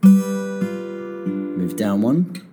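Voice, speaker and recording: A man hosting a guitar lesson podcast, calmly explaining finger positions step by step, his voice a little croaky. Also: on the low side, very slow. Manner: relaxed, step-by-step instruction. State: slightly croaky after singing. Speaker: guitar teacher and podcast host. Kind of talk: casual spoken guitar lesson. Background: guitar playing between phrases.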